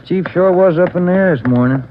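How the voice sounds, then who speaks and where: An actor playing a polite, mild police detective sidekick in a 1940s radio drama. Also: male voice, high voice, fairly quick, lightly acted. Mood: easygoing. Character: courteous, easygoing male police detective sidekick. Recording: dull, noisy 1940s radio recording.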